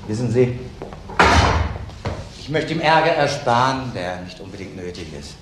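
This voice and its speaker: An actor playing a protective, frank shopkeeper in a crime drama, talking in a chatty, colloquial way. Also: male voice, medium pitch, expressive, moderate pace, very noisy, close to an everyday voice. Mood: protective, earnest. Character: protective, frank male shopkeeper, a convict's brother. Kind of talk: German TV crime drama acting.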